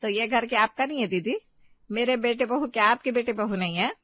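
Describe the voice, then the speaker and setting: A woman's voice: on the high side, fairly quick, dry recording, highs cut off, natural voice. A woman voicing a practical, welcoming older mother-in-law in a homely family drama. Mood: reassuring.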